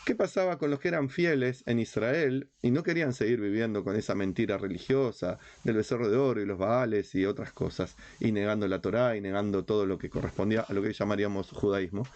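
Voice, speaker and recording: A man's voice: on the low side, a little fast, hardly any pauses, a little mellow, dull audio. A man from Uruguay with a Rioplatense accent, teaching religious history as a rabbi in an informal, didactic and emphatic lecture style. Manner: didactic, emphatic, with rhetorical questions and repetition.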